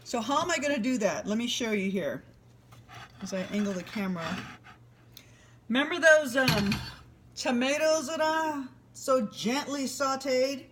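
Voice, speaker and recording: A woman in her fifties talks through a recipe in her home kitchen. She is chatty and rambling and laughs easily, and her voice sits a bit high. The camera mic picks up a faint hiss behind her. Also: expressive, unhurried, pauses often. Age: 50s. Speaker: home cooking vlogger. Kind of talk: casual cooking demo talk.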